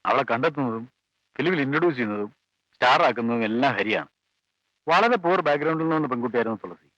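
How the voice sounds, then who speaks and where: A family friend, explaining in a steady, bright, fast voice. The sound is dull and a little lo-fi, dry as an old film track. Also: male voice, medium pitch, no echo. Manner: calm, explanatory. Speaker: family friend recounting events.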